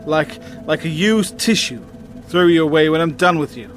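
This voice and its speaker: A man's voice: a little high, expressive, normal speed, a little hiss or hum, natural voice. A man acting a callous, taunting army sergeant in an audio drama. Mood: mocking contempt. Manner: taunting, condescending. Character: smug, callous, foul-mouthed male army sergeant.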